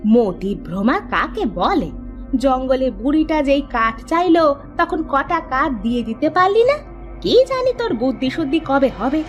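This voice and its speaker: A woman voicing a worried village woman in a children's cartoon, scolding in a sharp, reproachful way. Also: high voice, very animated, measured pace. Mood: scolding, fretful. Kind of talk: Bengali children's cartoon dubbing. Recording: music behind the voice.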